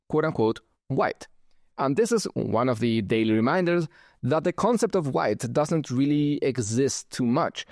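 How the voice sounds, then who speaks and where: A man's voice: medium pitch, darkish tone, dry recording, studio quality. A man with a German and Spanish accent, born in Germany and raised in Chile, hosting his channel with wry, conversational commentary. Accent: mix of German and Spanish. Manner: wry, conversational, occasional chuckles. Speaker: channel host. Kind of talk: casual commentary reading comments.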